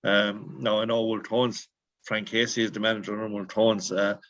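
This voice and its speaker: A man with an Irish accent, a sports journalist previewing a game on the radio, steady with many fillers. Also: fairly low pitch, moderate pace. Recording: dry, dull lo-fi sound.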